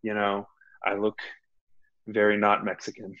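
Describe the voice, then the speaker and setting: A man in his thirties from Texas, a guest on a video call from home, telling his story in a relaxed, self-deprecating way. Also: lowish voice, unhurried, dull audio. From Laredo, Texas. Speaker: guest, addiction counselor. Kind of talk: personal recovery story. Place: home room.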